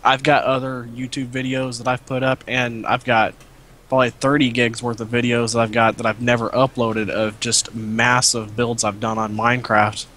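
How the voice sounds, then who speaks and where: A man's voice: medium pitch, flat delivery, normal speed, sharp tone. A man talking casually as a guest on a gaming live stream, explaining things in a plain, matter-of-fact way. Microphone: cheap headset.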